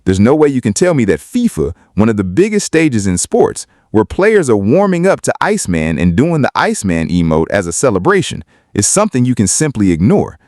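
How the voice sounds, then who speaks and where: A man's voice: mid-range voice, wildly swooping pitch, fairly quick, hardly any pauses, a little mellow, no room sound, clean studio sound. A man giving opinionated video commentary in an emphatic, argumentative way. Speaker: video commentator on hip-hop.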